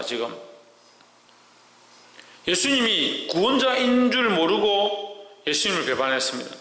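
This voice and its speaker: A man's voice: fairly high pitch, bright, forceful tone. A man with a South Korean regional dialect preaching verse by verse as a pastor, pressing his listeners with insistent rhetorical questions. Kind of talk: verse-by-verse sermon with scripture reading. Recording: echoey hall with long reverb.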